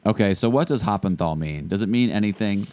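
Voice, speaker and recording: A man's voice: fairly low pitch, fairly quick, darkish tone, no room sound. A man co-hosting a podcast interview who jokes and chats playfully with the guest. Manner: joking, playful. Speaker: podcast co-host. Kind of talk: casual interview chat. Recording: clear background noise.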